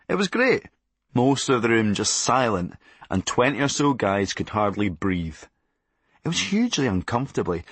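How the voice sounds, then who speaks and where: A man's voice: medium pitch, husky, dry recording. A Scottish man narrating a comic memoir in a sardonic, darkly comic and blunt manner. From Scotland. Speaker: comedian narrating a memoir audiobook.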